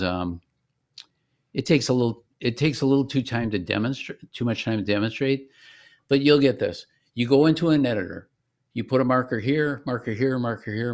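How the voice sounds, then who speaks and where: A man explaining software step by step in a conversational, informal product demonstration. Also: medium pitch.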